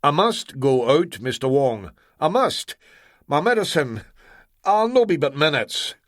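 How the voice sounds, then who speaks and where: A man voicing a rough-spoken Scottish man, desperate and strained, in an audiobook story. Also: fairly high pitch, big pitch swings, unhurried, dry recording, studio quality, voice pushed up.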